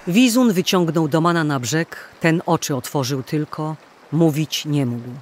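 A man narrating an old folk tale as a dramatic storyteller in a theatrical, deliberate manner. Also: fairly high pitch, a little hiss or hum.

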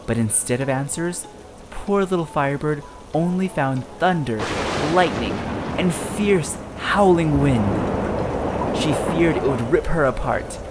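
A narrator reading a children's storybook aloud in an expressive, dramatic and deliberate storytelling style. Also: mid-range voice. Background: music.

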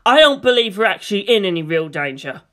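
A woman's voice: mid-range voice, very animated, quick pace, fairly bright tone. A woman acts out a teenage boy in a dramatic audiobook. He is agitated and full of protest. He questions everything with hurt suspicion. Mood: wounded disbelief. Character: distressed, argumentative, suspicious teenage boy, reluctant pilot. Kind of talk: dramatic audiobook character reading.

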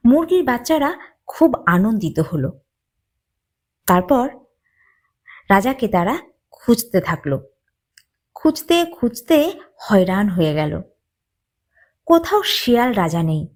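A woman narrating a children's moral story as a storyteller, with deliberate, dramatic phrasing. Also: fairly high pitch, big pitch swings, darkish tone.

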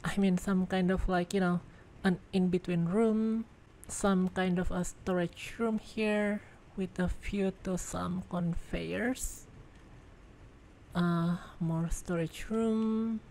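A woman giving casual gameplay commentary as a reviewer, excitable and thinking out loud, congested and losing her voice. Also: fairly low pitch, slow pace, a little hiss or hum. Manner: excitable, exclaims in surprise, thinks aloud. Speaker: game video reviewer.

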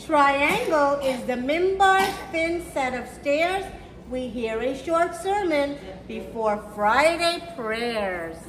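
High-pitched, slow-paced woman storytime reader. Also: animated. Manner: simple, encouraging teacherly address to young children. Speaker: children's storytime reader and teacher. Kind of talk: read-aloud of a picture book for children. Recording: roomy echo with faint background noise.

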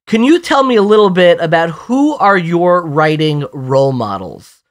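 A podcast host leads the interview, asking curious, conversational questions. The voice sits at a middle pitch. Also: lively intonation, moderate pace.

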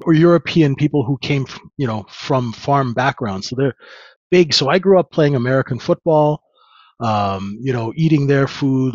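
A man in his fifties tells long stories as a guest, relaxed and rambling, pausing on fillers. His voice sits at a middle pitch. The video call sounds dull and lo-fi, with a dry sound. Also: no room sound. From Canada. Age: 50s. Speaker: trader guest. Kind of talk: casual interview storytelling.

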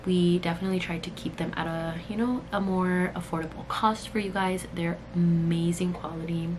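Low-pitched woman chatting, noisy room. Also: steady tone, normal speed. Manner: chatty, conversational, addresses viewers directly. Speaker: vlogger and cosmetics brand owner. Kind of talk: casual talk to camera. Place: small indoor room at work. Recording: clear room noise, camera audio.

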